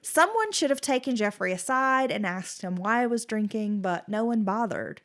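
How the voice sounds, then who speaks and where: A woman's voice: medium pitch, animated, moderate pace, fairly bright tone. A woman co-hosting a true-crime podcast, sounding nasal and congested with a cold. She moves between reading case details and easy, laughing banter. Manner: reads case details, then chats and laughs. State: stuffy nose from a cold. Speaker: podcast co-host.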